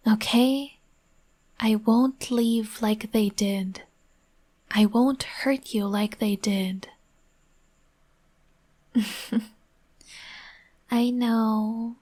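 A woman whispering softly and soothingly, speaking as a comforting partner in a roleplay. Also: a little high, fairly even intonation, drawn-out words. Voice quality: breathy.

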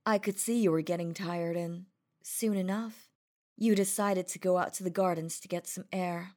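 A woman's voice: lowish voice, steady tone, moderate pace, a little mellow. A woman voicing an obsessive, possessive female demon who speaks in a sultry, coaxing way that turns to cold threats. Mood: seductive. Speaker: voice actor. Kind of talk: audio roleplay monologue.

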